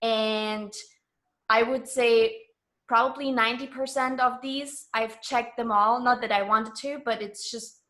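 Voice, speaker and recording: Higher-voiced woman from Germany, chatting candidly. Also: steady tone, a little slow, sharp tone. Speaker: interview guest. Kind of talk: casual interview chat.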